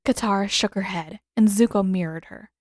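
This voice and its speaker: A woman reading a story aloud as scripted, expressive narration. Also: mid-range voice, fairly quick, no room sound, clean studio sound. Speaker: fiction reader and author.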